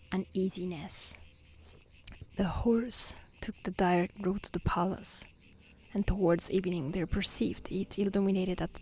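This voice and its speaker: A woman reading a fairy tale aloud in a soft, breathy ASMR style that often slips toward a whisper, gentle and careful. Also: medium pitch. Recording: faint background noise with chirping insects. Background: outdoor nature ambience, crickets.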